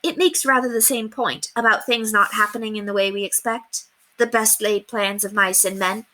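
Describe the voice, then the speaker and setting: A woman's voice: mid-range voice, fairly even intonation, moderate pace, pushed and bright, voice pushed up, lightly acted. A woman voicing a polite, cheerful young governess in a children's storybook, composed and well-spoken. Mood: polite, apologetic. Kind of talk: children's storybook read aloud.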